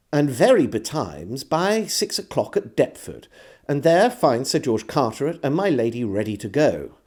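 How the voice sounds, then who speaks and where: Expressive male storyteller, very animated pitch. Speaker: storyteller reading an audiobook. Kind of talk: expressive reading of a 17th-century diary.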